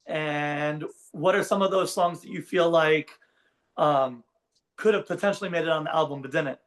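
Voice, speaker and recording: A man's voice: on the high side, moderate pace. A man hosting an interview, asking questions and encouraging the guest with frequent "yeah"s. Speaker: interviewer, host.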